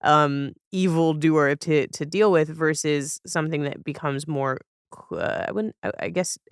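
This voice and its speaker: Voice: mid-range voice, unhurried, smooth, no room sound, studio quality. A podcast host talking casually, thoughtfully rephrasing ideas and questions along the way.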